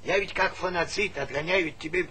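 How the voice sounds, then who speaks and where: An actor playing a folksy, fussing old village grandad who coaxes and nags in a Soviet radio play. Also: male voice, a little high, brisk, sharp tone. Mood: sly, needling. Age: older. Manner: folksy, coaxing, nagging repetitions. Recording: noisy 1970s radio drama recording.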